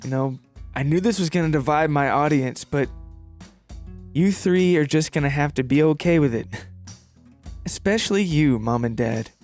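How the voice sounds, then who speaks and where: Male podcast host, casual and jokey, self-deprecating, slightly higher register, light music behind. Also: normal speed, long pauses, darkish tone. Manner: playful, joking, self-deprecating. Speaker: podcast host and story writer. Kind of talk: casual chat to listeners.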